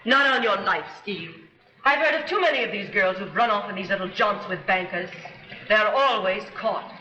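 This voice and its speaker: A woman's voice: medium pitch, animated, moderate pace, pushed and bright. An actress playing a cool, self-interested young woman with firm, clipped, dramatic delivery in 1930s film style. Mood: skeptical, scornful. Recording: muffled, echoey, hissy 1930s film soundtrack.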